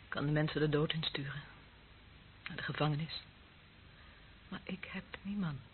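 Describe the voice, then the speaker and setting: Bitter young policewoman, tinny noisy recording. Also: low voice. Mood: bitter, desolate. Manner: bitter, taunting, intense, often dropping to a whisper. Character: embittered, lonely young policewoman, former death squad member. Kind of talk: radio drama acting. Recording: muffled phone-like band, clearly noisy old recording.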